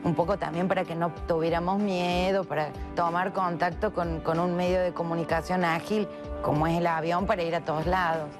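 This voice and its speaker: An Argentine woman with a somewhat low voice talks in a reflective interview. She pauses to think and fills gaps as she goes, with clear music running beneath her. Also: flat delivery. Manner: thoughtful, with pauses and fillers.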